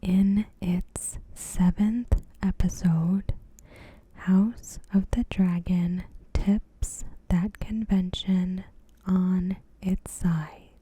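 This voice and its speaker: A woman softly whispering in an ASMR style, reading out a recap with measured pauses and a breathy voice. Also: fairly low pitch, fairly even intonation, no echo, rough recording. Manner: soft-spoken, slow, measured, pausing mid-sentence. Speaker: ASMR video creator.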